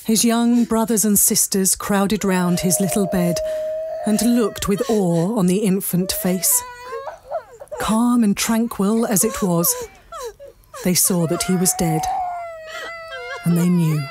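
A woman's voice: medium pitch, takes it slowly, pauses often, noisy background. A woman, narrating a story in a dramatic storybook style.

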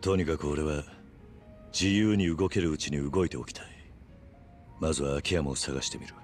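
A man's voice: a bass voice, moderate pace, soft background music. A male actor playing a stern, resolute middle-aged yakuza veteran in a video game, speaking in terse, grave, blunt phrases. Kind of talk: dramatic video game acting.

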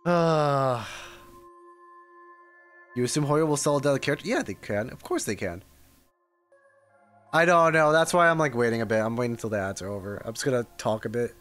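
A man casually rambling on a livestream as he reacts to a game, with soft music behind him. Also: mid-range voice, moderate pace, long pauses. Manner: rambling, animated, reads chat aloud.

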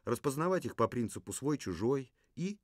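An earnest puppet survivor from a ruined future , voiced by a man, lecturing with lively rises and falls in his voice. The sound is dry, with almost no room around it. He hesitates now and then in the middle of a thought. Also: medium pitch, normal speed, dry recording. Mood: warning. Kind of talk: puppet theatre performance.

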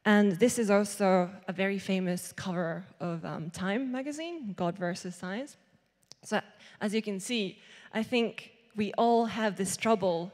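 A young woman speaks on stage into a handheld microphone in a big hall, and her voice trails off into a long reverb. She talks in a loose, chatty way, full of fillers and restarts, at a middle pitch. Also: a little mellow. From Japan. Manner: chatty, lots of likes and restarts. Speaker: artist giving a conference talk. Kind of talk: conference talk. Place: large hall with seated audience.

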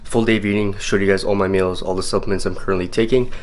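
A man's voice: low voice, fairly even intonation, fast talker. A young man in his twenties talking casually to his camera in a bedroom, an easygoing fitness vlogger. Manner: easygoing, chatty, lots of "guys". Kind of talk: casual vlog talk to camera. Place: small bedroom indoors, later a parked car.

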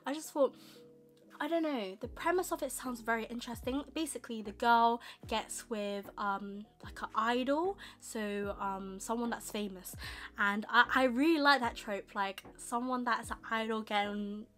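A young woman with a slightly husky voice from a tickly throat, rambling casually through a manga haul in her bedroom. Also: fairly high pitch, fairly bright tone. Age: young adult. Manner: rambling, chatty, quick asides. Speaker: manga vlogger. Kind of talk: casual chatty haul vlog. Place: bedroom with manga shelves, indoors.

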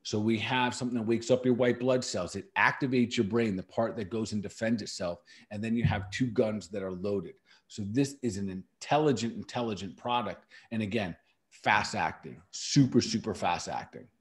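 A man's voice: on the low side, moderate pace. Middle-aged male podcast host; casual, emphatic explainer who doubles up words for stress. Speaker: natural medicine practitioner and podcast host.

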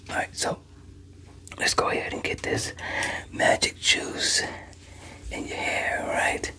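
Casting: mature man, breathy whisper with a crisp bright edge, reassuring ASMR barber chatting to his client. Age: 50s or older. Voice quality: breathy, mostly unvoiced whisper. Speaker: ASMR creator role-playing a barber. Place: small room at home, indoors.

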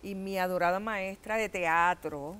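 A middle-aged Puerto Rican woman, an actress, telling stories as a guest in a TV studio interview, animated and gesturing. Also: fairly low pitch, unhurried, clear, resonant tone. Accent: Puerto Rican Spanish. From Puerto Rico. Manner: animated storytelling with gestures, laughs and acted-out quotes. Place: TV studio set.

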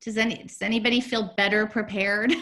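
A woman in her forties on a video call from home, presenting in a chatty, upbeat way with many fillers, her voice going hoarse. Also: mid-range voice, steady tone, brisk, bright, forceful tone. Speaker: program director presenting a webinar. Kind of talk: casual webinar presentation. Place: home room, indoors. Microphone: video call, laptop mic.

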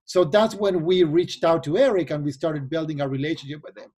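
A man's voice: on the high side, fairly even intonation, brisk, a little mellow, breathy edge. A man in his forties from Argentina with an Argentine accent, explaining earnestly as an investor guest over a video call. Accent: Argentine Spanish. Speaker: venture capital investor guest. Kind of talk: remote video interview.

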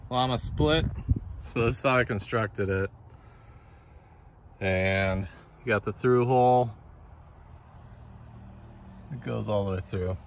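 A man with a lowish voice chats through his woodcarving project in a relaxed, matter-of-fact way. He is recorded on a camera mic out in a yard, with faint outdoor sound around him. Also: a little hiss or hum. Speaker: chainsaw carver. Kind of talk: casual workshop vlog narration.

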